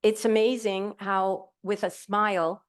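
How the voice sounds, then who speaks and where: A woman's voice: mid-range voice, level tone, measured pace, clean tone. A middle-aged woman telling her own story as a panel guest at a live event, cheerful, candid and rambling, speaking into a handheld microphone. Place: event hall with audience.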